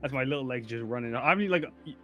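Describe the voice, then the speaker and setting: A man bantering casually as a player in a tabletop game, excitable and goofy. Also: mid-range voice, fast, soft background music.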